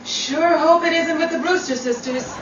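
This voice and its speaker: A woman's voice: high voice, fairly bright tone, muffled recording, a faint crowd. A woman playing a haughty, sassy Hollywood starlet with arch, theatrical 1940s radio comedy delivery. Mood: wry. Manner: arch, theatrical, quick-witted. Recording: roomy, distant, very noisy amateur recording.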